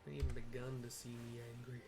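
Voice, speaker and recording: A man's voice: medium pitch, level tone, fast, gravelly, over music. A man giving casual, slangy commentary on his own video game playthrough in a playful way. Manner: playful, slangy, breaks into singing and sound effects. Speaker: gamer narrating own playthrough. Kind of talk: casual gameplay commentary with read-aloud dialogue.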